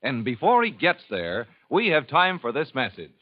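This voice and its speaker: A man narrates an old radio drama in a smooth, measured announcer manner. His voice has a middle pitch for a man. The old recording sounds dull. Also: huge pitch swings.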